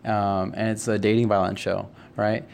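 A man's voice: lowish voice, little pitch movement, normal speed, darkish tone. A teenage male student explaining something conversationally, often checking in with "right?" and "you know?". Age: teens. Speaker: high school theater student, podcast guest. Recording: clear background noise, unpolished room recording.

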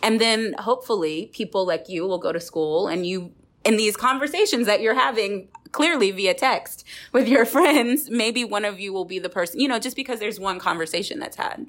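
A woman's voice: medium pitch, normal speed. A woman from Texas hosting a conversational podcast discussion, thinking aloud in a reflective way and rephrasing as she goes. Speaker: podcast host.

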